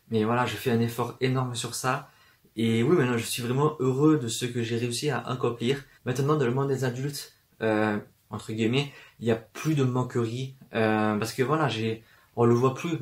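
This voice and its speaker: Young man, southern French accent, hesitant vlog talk, stutters now and then, lots of fillers, middle-pitched voice. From southern France. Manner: hesitant, frequent fillers and restarts; stutters at times. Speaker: book vlogger. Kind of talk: personal vlog to camera. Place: room full of books, indoors.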